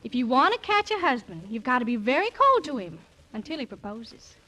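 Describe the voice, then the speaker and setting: A woman's voice: high voice, sing-song, a little fast, background noise. A woman playing a sweet, naive young laundry girl in love, earnest in the manner of 1940s radio operetta. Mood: coy, curious. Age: young adult. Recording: vintage 1940s radio broadcast.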